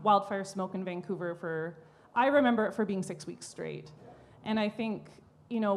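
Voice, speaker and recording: A woman artist speaking as a guest at a live panel, thoughtful and searching for her words as she reflects. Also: medium pitch. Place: public library event room.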